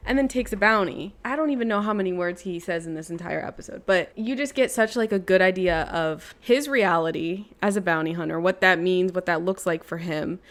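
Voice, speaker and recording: A woman's voice: on the low side, brisk, hardly any pauses. A woman co-hosting a streamed show, talking casually and eagerly about a character she loves. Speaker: podcast co-host.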